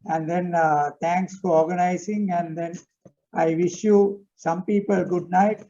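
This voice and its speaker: A man giving a formal vote of thanks on a video call, slow and halting with many fillers. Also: high voice, steady tone, warm tone. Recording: dull lo-fi video call audio.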